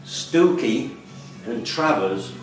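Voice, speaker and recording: A man's voice: medium pitch, expressive, drawn-out words. A man reading out questions as the quiz master at a live quiz. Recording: echoey room, distant mic, loud background music.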